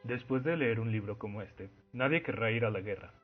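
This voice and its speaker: Muffled recording with music behind a man acting as a stern, self-righteous Soviet censor in a radio play. His husky, mid-pitched voice scolds pompously. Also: a little fast. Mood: scolding disapproval.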